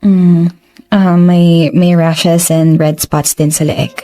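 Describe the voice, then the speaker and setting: A low, mellow female voice plays as a woman plays a composed doctor questioning a patient. She is polite and reassuring, switching easily between English and Tagalog. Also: level tone, takes it slowly. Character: calm, professional female doctor.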